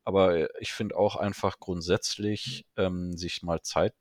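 A man's voice: deep voice, steady tone, measured pace, no room sound, clean studio sound. A male interviewer asking long rambling questions in a casual, friendly manner and laughing along often. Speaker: interviewer, host.

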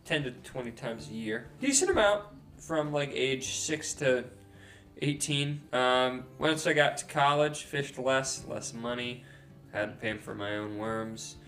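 A young man in his twenties talks loosely and easily, filling his speech with ums and restarts as he rambles through a story. He sits indoors at home. Soft guitar music plays faintly underneath. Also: medium pitch, measured pace. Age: 20s. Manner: rambling, laid-back, frequent ums and self-corrections. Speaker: podcast co-host. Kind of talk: casual chat. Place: house, indoors.

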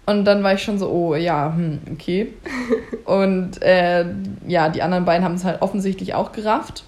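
A young woman in a casual chat, telling a story in a lively way and laughing often. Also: lowish voice, moderate pace.

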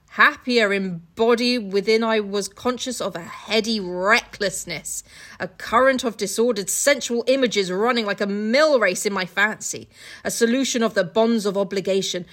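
Female podcast co-host, mid-pitched, reads aloud and comments irreverently, sweary and mocking. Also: a bit bright. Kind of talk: casual book podcast, reading aloud with joking commentary.

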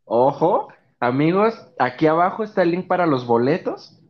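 A young man with a Mexican accent and a fairly high voice talks casually among friends. He is slangy, playful and sweary, and the recording sounds a little muffled. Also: sing-song, normal speed. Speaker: podcast co-host.

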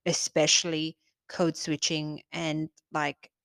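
A woman's voice: deep voice, little pitch movement, takes it slowly, creaky, a bit bright, dry recording, clean studio sound. A young woman who grew up in South Africa and lives in Australia, with an Australian accent that has South African traces, co-hosting a casual podcast and chiming in with emphatic remarks. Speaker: podcast co-host. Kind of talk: casual chat.

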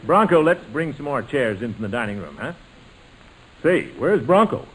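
An actor playing a pompous, jovial, sentimental middle-aged uncle in a 1940s radio comedy, with a theatrical delivery. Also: male voice, medium pitch, sing-song, normal speed, a bit bright. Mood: jovial, festive. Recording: noisy old-time radio recording.

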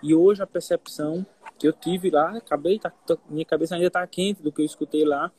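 Husky man explaining economics. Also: fairly high pitch, fairly even intonation, a little fast, darkish tone. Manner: explanatory, with frequent "né?" checks. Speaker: economist guest. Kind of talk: casual live interview.

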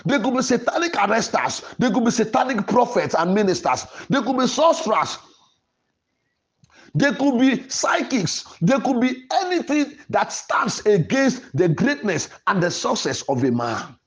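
A male preacher with a notably high voice that swings widely in pitch. He leads deliverance prayer with insistent, declamatory phrasing, hammering home short repeated lines. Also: sing-song, normal speed. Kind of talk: fervent deliverance sermon and prayer.

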